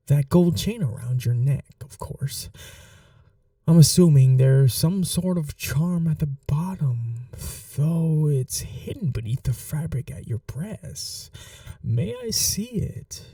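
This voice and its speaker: A man playing a sadistic, possessive vampire lord in an ASMR roleplay, speaking softly and breathily with courtly, teasing menace and dropping often into whispers. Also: fairly high pitch, lively intonation, slow, no room sound, clean studio sound, distant mic, heavily acted. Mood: curious, polite. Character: sadistic, possessive male vampire lord, suave seductive villain. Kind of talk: ASMR roleplay audio drama.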